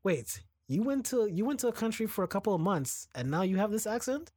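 A man in a casual podcast chat, earnest and quick to laugh. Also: high-pitched, very animated, a little mellow, husky, no room sound, clean studio sound. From Trinidad. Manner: earnest, laughing, trips over words.

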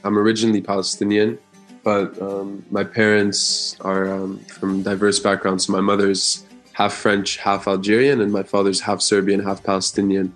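A young man from the Gaza Strip answering interview questions in a measured, earnest way, with some fillers. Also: fairly low pitch, completely flat delivery, soft background music. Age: young adult. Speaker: interview guest.

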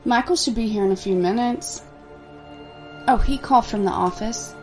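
An actress playing a young woman devoted to her controlling father, speaking in a soft, measured, quietly menacing way. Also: a little high, soft background music, natural voice. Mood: calm, sinister. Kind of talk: audio drama acting.

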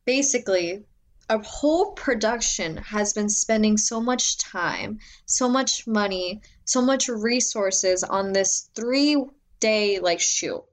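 A young adult woman with a loud voice, talking as a guest in a casual interview about working as an assistant director, full of "like"s and restarts. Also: mid-range voice, slow. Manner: animated, filler-heavy, many "like"s.

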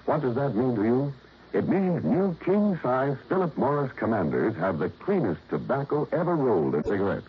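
Very animated American radio announcer, male. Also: mid-range voice, normal speed, a little hiss or hum. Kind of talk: polished 1960s radio announcing and advertising. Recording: dull vintage radio broadcast, loud music.

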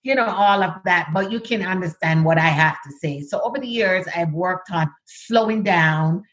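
Low-voiced Jamaican woman. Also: a bit bright. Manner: measured, sometimes overpronounces words. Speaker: guest, diversity executive. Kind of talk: interview conversation.

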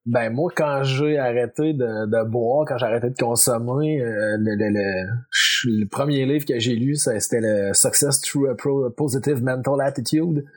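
Husky Quebec French man, halting. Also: mid-range voice. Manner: halting, repeats words. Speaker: podcast guest. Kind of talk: casual interview answers.

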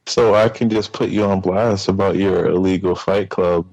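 A man talking as a podcast guest, relaxed, explaining things plainly in casual chat. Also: sits low, normal speed, dry recording, dull audio.

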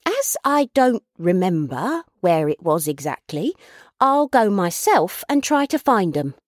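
Storybook role: kindly old porter dad played by a woman, excitable, breathless bustle, very animated pitch, dry close sound. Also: a little slow, dry recording. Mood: cheerful, fibbing. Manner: trotting, breathless, repetitive bustling speech. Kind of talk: storybook character voice.

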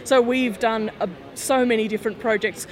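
A woman engineer explaining her company's work clearly in an interview. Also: a little high, fairly even intonation, normal speed. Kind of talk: casual interview. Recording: crowd noise behind. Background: crowd chatter.

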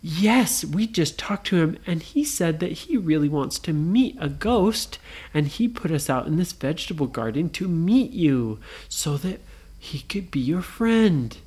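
A woman's voice: sits low, animated, a little slow, darkish tone, breathy edge, heavily acted. A woman voicing a brave, friendly little girl in a children's bedtime-style story. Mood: reassuring.

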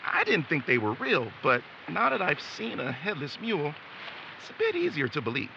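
Guy acting easygoing young dude guide in audio drama, bouncy higher voice, noisy background. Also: huge pitch swings, normal speed, gravelly, muffled recording, exaggerated voice. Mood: explanatory. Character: easygoing young man guiding friends on supernatural search.

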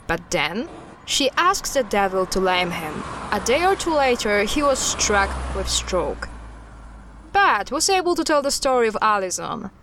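Woman acting as earnest female history expert, guarded, measured storytelling, audio drama interview, medium pitch, light background noise. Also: very animated, a bit bright. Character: knowledgeable woman recounting witch-trial history, earnest and guarded.

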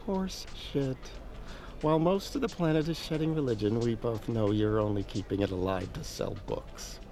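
An actor playing a blunt, suspicious senator in an audio drama, cynical and menacing, with a gravelly voice. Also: male voice, mid-range voice, unhurried, darkish tone, lightly acted. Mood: scornful, threatening. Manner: cynical, threatening. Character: blunt, suspicious, foul-mouthed male senator.

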